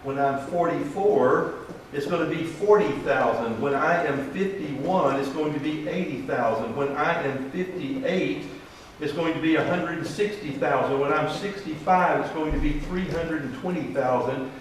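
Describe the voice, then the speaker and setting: A man's voice: a little high, moderate pace. A middle-aged man, a financial advisor, giving a folksy classroom presentation and asking the audience questions. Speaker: financial advisor teaching a seminar. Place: large echoey room. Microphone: room mic from a distance. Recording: echoey room, distant mic, faint hiss.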